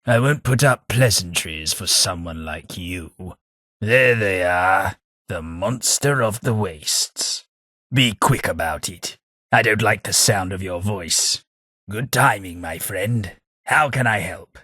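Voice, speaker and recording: An actor playing a haughty, dry-witted old aristocratic ghoul in a video game, with a British accent, a raspy voice and a pompous, formal manner. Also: male voice, low-pitched, takes it slowly, bright, forceful tone, no echo, heavily acted. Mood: disdainful, then warm. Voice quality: raspy, gravelly. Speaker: video game companion character. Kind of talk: scripted video game voice acting.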